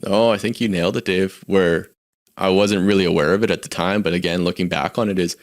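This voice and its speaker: Man, Canadian accent, forties, lowish voice, candid, reflective, casual storytelling. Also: steady tone, a little fast. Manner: candid, reflective, frequent restarts. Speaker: podcast guest.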